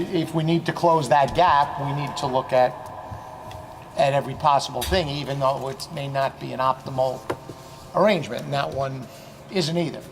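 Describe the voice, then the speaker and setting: A man speaking in a measured, halting way at a school board meeting, restarting his phrases. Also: fairly high pitch. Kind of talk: meeting discussion. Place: large school meeting room. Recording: noisy meeting room sound.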